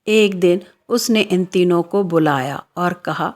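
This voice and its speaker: Woman, children's story narrator, scripted delivery, lowish pitch, very slow pace. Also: a little mellow.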